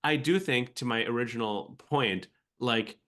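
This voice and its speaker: A man's voice: mid-range voice, measured pace, a bit bright. A man in his forties, born in the Philippines with an American accent, speaking as a podcast guest in a reflective way with many fillers and restarts. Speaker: venture capital guest. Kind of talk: conversational podcast interview.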